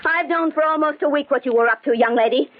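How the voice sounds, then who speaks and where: An actress playing a frightened but steely wronged woman in an old-time radio thriller, speaking dramatically and deliberately. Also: high-pitched, dull audio, close to an everyday voice. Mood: coldly triumphant. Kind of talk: old-time radio thriller acting and first-person narration. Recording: band-limited old radio drama with music.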